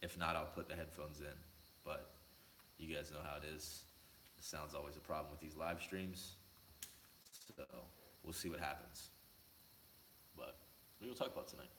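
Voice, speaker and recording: A man with a very low voice hosts a casual livestream, joking loosely and calling out viewers in the chat by name. His tone is crisp and forward despite the depth. He speaks into a laptop mic in an echoey room with a faint hiss behind him. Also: level tone, normal speed, pauses often, a bit bright. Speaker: livestream host. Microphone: laptop mic, no headphones.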